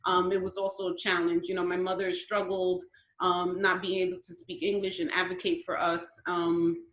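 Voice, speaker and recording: A woman raised in Brooklyn whose was Spanish, speaking earnestly on a video call and pausing to search for words. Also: fairly low pitch, little pitch movement, fairly bright tone, echoey room, rough recording. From Brooklyn, New York. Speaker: guest.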